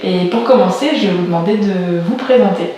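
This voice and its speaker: A woman hosting a podcast interview, reading a scripted introduction and then asking measured questions. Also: fairly low pitch, warm tone, slight background noise. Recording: very echoey, far from the mic, faint music.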